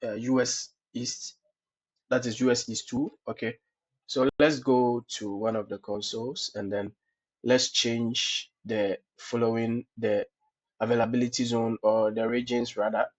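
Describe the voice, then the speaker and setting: A man's voice: mid-range voice, level tone, unhurried. A man with a cold hosting a screen-recorded cloud lab tutorial, explaining step by step with many "okay, so" fillers. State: has a cold. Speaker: tutorial host. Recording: dull lo-fi screencast audio.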